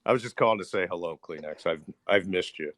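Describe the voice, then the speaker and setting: A man's voice: low voice, fast talker, fairly bright tone, no room sound. A man calling in to a show and chatting casually. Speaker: caller. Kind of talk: casual chat.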